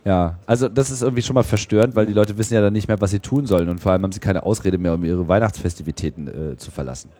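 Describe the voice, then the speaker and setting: A man's voice: fairly low pitch, rapid-fire, hardly any pauses, dark tone, dry recording. A man from Germany chatting casually, telling digressive stories with an ironic streak. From Hannover, Germany. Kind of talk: casual, bantering chat.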